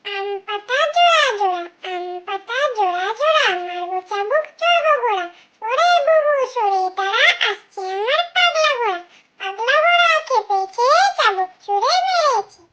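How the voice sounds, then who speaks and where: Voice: extremely high pitch, lively intonation, normal speed, pushed and bright, muffled recording, full character voice. A performer voicing a small cartoon child who chants nursery rhymes in a simple, repetitive sing-song.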